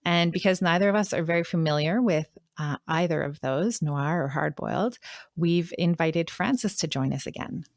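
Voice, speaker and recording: A woman's voice: sits low, normal speed, no room sound, studio quality. A woman co-hosting a podcast in casual conversation, somewhat hesitant as she searches for words. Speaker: podcast co-host.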